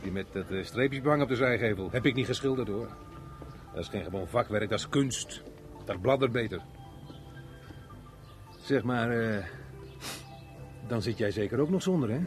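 A man's voice: medium pitch, expressive, normal speed, pauses often, faint music behind, close to an everyday voice. A man acting in a Dutch radio play as a jovial, wry, middle-aged unemployed chatterbox who jokes with his neighbour. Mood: jovial, teasing. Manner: chatty, joking, chuckling, wry.

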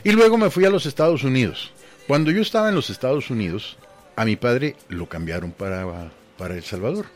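A man's voice: mid-range voice, expressive, a little fast. An older man from Guatemala, speaking, recounts his life as a guest on a radio show. He rambles from one anecdote to the next and acts out the people in his stories. Faint music plays beneath his voice. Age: 60s. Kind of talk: casual radio interview storytelling.

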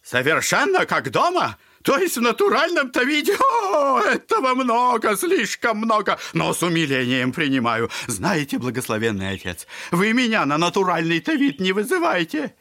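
An old buffoon of a father, pitched high and swinging wildly up and down. The voice is bright and sharp, full of fawning, theatrical mock courtesy, as in a radio play. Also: big pitch swings, moderate pace, few pauses, heavily acted. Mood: fawning, needling. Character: garrulous, clownish old father, a self-declared buffoon. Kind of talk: audio drama acting.

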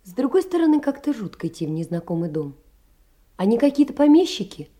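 An actress playing a gentle, lonely poor young woman, wistful and earnest, in a theatrical literary audio drama. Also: a little high, big pitch swings, a little fast. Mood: uncertain, worried.

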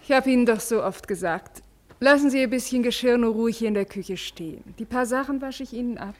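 An actress playing a kindly, practical lodger who gently scolds, in a 1950s radio drama. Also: female voice, fairly high pitch, a little fast, lightly acted. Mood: concerned, chiding. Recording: clearly noisy old radio recording.

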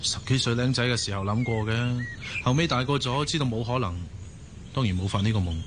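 A man's voice: mid-range voice, brisk, background noise, natural voice. An actor with a Hong Kong Cantonese accent playing a cautious, devoted man in love in a radio drama. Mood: thoughtful. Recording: music behind the voice.

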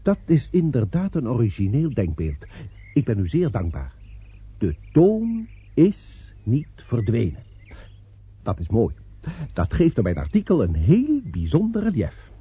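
An actor playing a brisk, politely sceptical newspaper reporter in a radio play, businesslike and wry. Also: male voice, medium pitch, huge pitch swings, breathy edge, no room sound, heavily acted. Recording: dry, dull old radio play recording.

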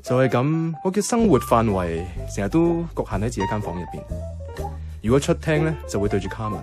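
Radio drama lead: male actor as wry, low-key young Hong Kong flatmate, animated intonation, casual narration over prominent music. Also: a little fast, natural voice. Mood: wry, reflective. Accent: Hong Kong Cantonese. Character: mild, wry young man, reluctant flatmate narrating his life. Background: background music.

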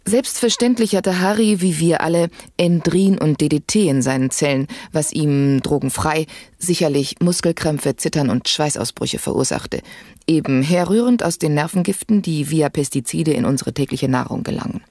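A woman's voice: deep voice, moderate pace, warm tone, no room sound, studio quality. An actress playing a middle-aged, matter-of-fact and weary woman doctor in a dystopian satirical radio play. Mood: clinical lecturing. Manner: clinical, didactic, resigned. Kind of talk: satirical science fiction radio play acting.

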